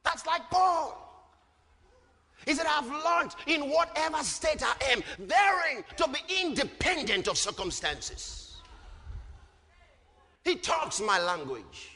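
A man's voice: very high voice, sing-song, slow, creaky, long pauses, sharp tone. A man preaching an impassioned sermon, emphatic and repetitive, punctuated with rhetorical questions. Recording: long hall reverb.

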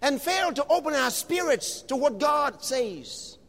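Male preacher, lively high pitch, fervent sermon in a big reverberant hall. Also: vocal fry. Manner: impassioned, shouting and drawn-out emphasis.

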